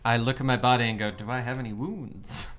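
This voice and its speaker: Smooth, clear-voiced tabletop game player. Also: medium pitch, steady tone, a little fast, a bit bright. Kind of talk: casual game-table chat.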